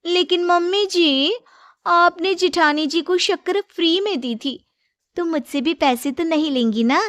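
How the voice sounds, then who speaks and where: A woman's voice: very high voice, a little slow, fairly bright tone, dry recording, studio quality, pitched above the performer's own voice. A woman voicing a brash, stingy, scheming daughter-in-law who wheedles in a Hindi moral story. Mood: wheedling.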